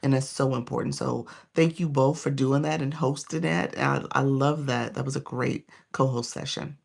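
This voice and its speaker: A hoarse, tired woman coaching on a phone live stream, greeting viewers by name in casual chat between her points. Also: a bass voice, fairly even intonation, normal speed, a little mellow.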